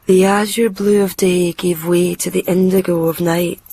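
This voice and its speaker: A woman's voice: lowish voice, level tone, moderate pace, dark tone, husky. An actress playing a fragile, lovestruck young Scottish woman from Glasgow, telling her story intimately and wistfully in a radio drama. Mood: dreamy, confiding. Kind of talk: radio drama monologue and dialogue.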